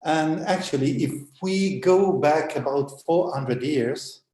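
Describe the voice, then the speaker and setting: A man whose first language is Italian speaks on a conference panel, his voice dark and mellow. He talks hesitantly, stopping for fillers and restarting his sentences. The room around him is noticeably echoey. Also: on the high side, takes it slowly. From Italy. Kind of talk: conference talk, online panel.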